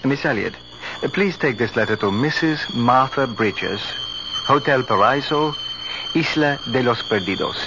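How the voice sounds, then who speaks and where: An actor playing a seemingly kindly, secretly scheming man, measured and deliberate, in a radio drama. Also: medium pitch, lively intonation, lightly acted. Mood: secretive, urgent. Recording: muffled, very noisy 1970s radio recording.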